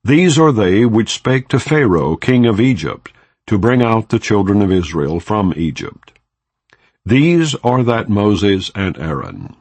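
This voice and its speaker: A man narrating scripture as an audiobook in a solemn, measured, deliberate way, without dramatization. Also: on the low side, very animated, a little mellow, no room sound. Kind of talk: non-dramatized scripture reading.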